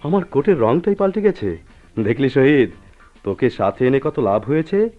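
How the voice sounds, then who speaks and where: On a muffled old radio drama recording, an actor plays a jovial married man who works in the forest. His mid-pitched voice swings in lively melody as he teases with hearty familiarity. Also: faint music behind, lightly acted. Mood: teasing, amused. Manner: jovial, teasing, brisk familiarity. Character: hearty, teasing married friend, a forest officer. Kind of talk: Bengali radio drama acting.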